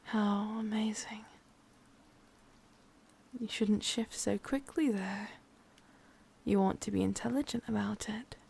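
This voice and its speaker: A woman voicing a sadistic, possessive witch lover in a breathy, husky whisper with an English accent, intimate and teasing, for an ASMR roleplay. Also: mid-range voice, steady tone, slow pace, pauses often, a little mellow, slight background noise. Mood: gloating, sadistic.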